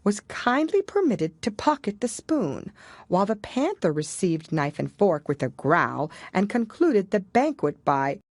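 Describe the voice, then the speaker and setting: A woman's voice: medium pitch, big pitch swings, measured pace, dry recording, studio quality. A woman voicing a timid, puzzled young girl in an audiobook, polite and careful as she speaks. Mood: timid reciting. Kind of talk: audiobook character voice.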